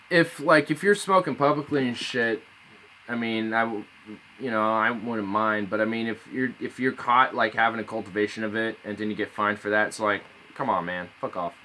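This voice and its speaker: A man's voice: on the low side, normal speed, sharp tone, a little hiss or hum, rough recording. A man streaming casually, rambling and swearing as he reads aloud. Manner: rambling, laid-back, swears often. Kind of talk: casual live-stream chat. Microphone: phone.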